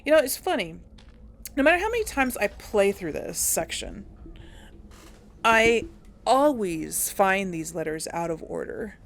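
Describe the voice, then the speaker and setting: A woman's voice: mid-range voice, very animated, unhurried, pauses often. A woman hosting a casual game playthrough, talking in a rambling, hesitant way with many fillers and restarts. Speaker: let's play host. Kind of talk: casual gameplay commentary.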